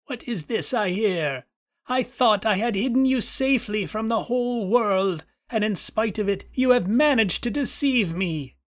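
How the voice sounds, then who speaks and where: A man voicing a wicked old witch who scolds and jeers in a shrill, raised voice. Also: animated, measured pace. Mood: furious.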